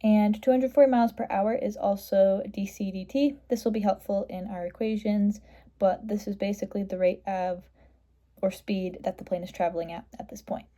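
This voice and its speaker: Female tutor for math walkthrough: middle-pitched, somewhat mellow voice, matter-of-fact step-by-step delivery. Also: steady tone, normal speed. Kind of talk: step-by-step explanation of a worked math problem.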